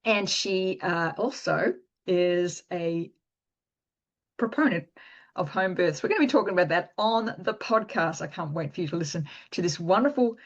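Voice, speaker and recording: Woman, podcast host, lower voice, enthusiastic, chatty, slightly dull lo-fi audio. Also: lively intonation, normal speed. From Canada. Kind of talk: podcast interview.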